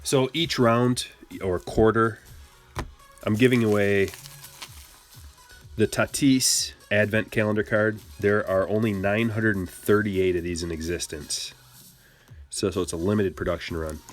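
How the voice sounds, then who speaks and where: A man from Michigan hosting a casual card-break livestream, joking and rambling as he chats with viewers. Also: fairly low pitch. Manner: playful, joking, rambling banter with chat. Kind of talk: casual livestream chat while opening cards.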